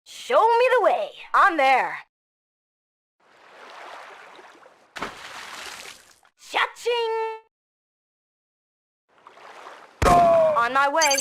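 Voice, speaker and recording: A woman's voice: high voice, sing-song, slow pace, fairly bright tone, a little hiss or hum, heavily acted. A woman voicing a cocky, sassy female commando in a video game, with punchy delivery. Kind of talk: video game action acting.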